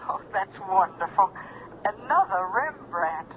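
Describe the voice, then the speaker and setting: A woman's voice: medium pitch, animated, a little slow, narrow, tinny audio. An actress playing a deferential, eager woman, heard as a telephone voice in a 1970s radio drama. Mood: delighted. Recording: thin telephone voice, hissy 1970s broadcast.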